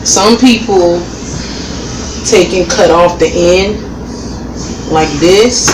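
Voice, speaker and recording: A woman's voice: lowish voice, extremely slow, far from the mic, background noise. Laid-back woman cooking, sniffly, off-mic. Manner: chatty, laid-back, laughs often. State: sniffling from a cold. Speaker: vlogger cooking at home. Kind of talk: casual cooking chat. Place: small home kitchen. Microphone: camera across the kitchen. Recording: roomy kitchen sound from off-mic.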